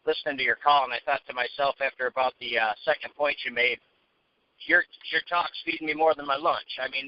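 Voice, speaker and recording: A man calling in to a conference call, giving an effusive, informal testimonial. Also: medium pitch. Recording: muffled conference phone call.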